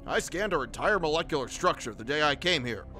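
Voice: mid-range voice, animated, brisk, bright, forceful tone, soft background music. An actor playing a brainy, commanding old scientist great-uncle, earnest and pedantic, in a cartoon comic dub. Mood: boastful.

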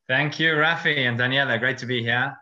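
A man's voice: mid-range voice, steady tone, a little fast, sharp tone. South african guy on a podcast, earnest, says very very a lot, lo-fi audio. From Johannesburg, South Africa. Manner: earnest, repeats words for emphasis. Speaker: rabbi, guest. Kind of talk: casual podcast chat. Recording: dull lo-fi audio.

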